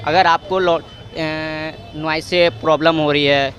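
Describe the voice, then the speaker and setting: A man's voice: fairly high pitch, level tone, takes it slowly, a bit bright, highs cut off, faint music behind. A young man with a Bihari accent in a street interview, hesitantly groping for words and mixing in English. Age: young adult. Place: outdoor street. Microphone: handheld reporter microphone.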